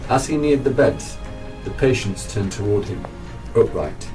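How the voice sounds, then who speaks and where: A man's voice: medium pitch, expressive, a little slow. A man narrating a scripted radio drama as storyteller. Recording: distant microphone under clear music.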